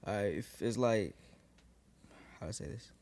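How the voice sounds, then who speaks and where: A young man in his twenties from New York, a guest rapper chatting casually in a podcast interview, relaxed and loose with lots of fillers. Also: lowish voice, normal speed. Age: 20s. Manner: relaxed, lots of likes and restarts. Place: living room podcast set, indoors. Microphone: boom studio mic.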